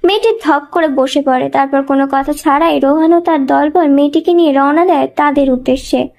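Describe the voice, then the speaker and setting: A woman's voice: high-pitched, fairly even intonation, fairly quick, gravelly, darkish tone. A woman reading scripted narration of a dramatic thriller story as a storyteller.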